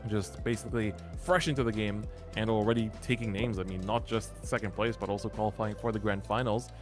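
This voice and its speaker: A man's voice: lowish voice, fairly even intonation, fairly quick, music in the background. Man casting a live card-game draw. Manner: thinking aloud, hesitant fillers. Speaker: esports caster. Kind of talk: live esports bracket commentary.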